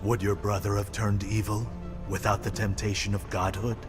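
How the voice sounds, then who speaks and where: A man's voice: fairly low pitch, little pitch movement, normal speed, natural voice. Actor as cautious craftsman in long reverb. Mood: troubled, doubtful. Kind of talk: dramatic video game cutscene acting. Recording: long reverb, music under the voice.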